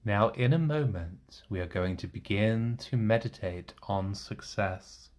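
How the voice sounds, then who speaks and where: A man leading a guided meditation in a gentle, reassuring manner, leaving long pauses between short phrases. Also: lowish voice. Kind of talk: guided meditation, soothing instruction.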